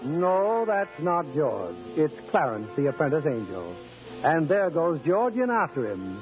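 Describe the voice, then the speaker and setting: An actor playing a measured, suspenseful narrator of a 1940s radio drama. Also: male voice, fairly high pitch, sing-song. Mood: dramatic. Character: deliberate, dramatic male radio play narrator. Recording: dull 1940s radio, orchestral music behind.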